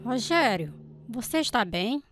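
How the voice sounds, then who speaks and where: An actress playing a caring, devout Brazilian woman counselor, gently instructing in a scripted radio drama. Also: fairly high pitch, sing-song, measured pace, faint music behind. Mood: concerned.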